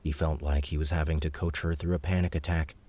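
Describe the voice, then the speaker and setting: A man narrating an audiobook in a measured, even storytelling voice. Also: rumbling low.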